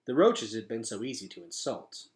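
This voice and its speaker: A man reading a children's story aloud as a teacher, with expressive, dramatic emphasis. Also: mid-range voice, moderate pace.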